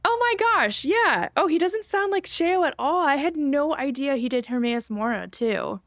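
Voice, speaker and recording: Chatty woman streamer with creaky voice. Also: high voice, lively intonation, moderate pace, a bit bright, dry recording, clean studio sound. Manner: chatty, thinking aloud. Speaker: game streamer. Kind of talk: casual livestream chat while playing.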